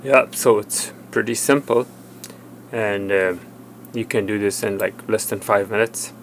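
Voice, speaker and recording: A man presenting an unscripted technical demonstration, explaining step by step in a halting, plain way. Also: lowish voice, steady tone, slight background noise. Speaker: tech tutorial presenter. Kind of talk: hands-on product demonstration, unscripted.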